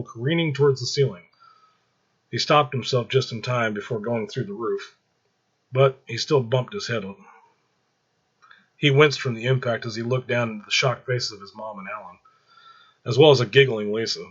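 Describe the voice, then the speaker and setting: A man's voice: mid-range voice, a bit bright, husky, dull audio. A man reading fiction aloud as a story narrator.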